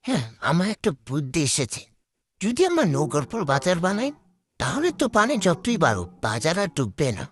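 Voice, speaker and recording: A woman's voice: low voice, wildly swooping pitch, fairly quick, full character voice. A woman voicing a determined, inventive village boy in a cartoon. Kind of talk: cartoon voice acting.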